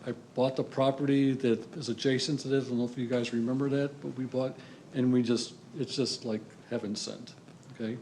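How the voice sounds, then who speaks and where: An older man with a gravelly, slightly creaky voice speaks up at a public hearing. He sounds earnest and rambling, circling around his question. Faint room noise sits underneath. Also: mid-range voice, little pitch movement, fairly quick, darkish tone, poor audio. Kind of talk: public comment.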